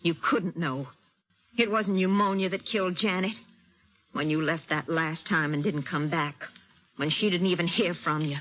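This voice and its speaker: An actress playing a protective, wounded frontier aunt in an old-time radio western, speaking earnestly and emotionally with a husky voice. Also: female voice, lowish voice, moderate pace, close to an everyday voice. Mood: bitter, pleading. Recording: dull, lo-fi old radio recording.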